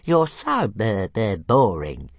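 Small male dragon, squeaky, heavy stutter, stubborn, sulky, storybook. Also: huge pitch swings, slow pace, no room sound, exaggerated voice. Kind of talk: audiobook character voice.

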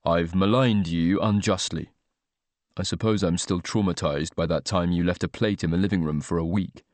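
A man voicing a prim, formal gentleman. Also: low-pitched, fairly even intonation, no room sound, pitched below the performer's own voice, close to an everyday voice. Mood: primly reproachful. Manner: precise, measured, slightly stiff speech. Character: formal, fastidious, reserved British man, anxious underneath.

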